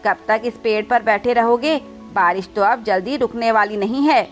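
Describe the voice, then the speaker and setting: A woman's voice: fairly high pitch, moderate pace, soft background music, close to an everyday voice. A woman voicing a chatty, helpful male parrot neighbour in a cartoon. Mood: friendly, animated talk.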